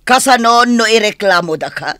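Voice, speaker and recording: A Filipino woman acting in a radio drama as an excitable, complaining young village woman. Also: fairly high pitch, normal speed, no room sound, clean studio sound. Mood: worried, admonishing.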